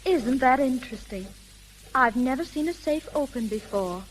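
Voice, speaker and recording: Coy poised young lady, highish voice, old 1930s radio play with some hiss. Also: animated, normal speed. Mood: light, curious. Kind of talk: 1930s American radio drama acting. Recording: hissy, crackling 1930s radio recording.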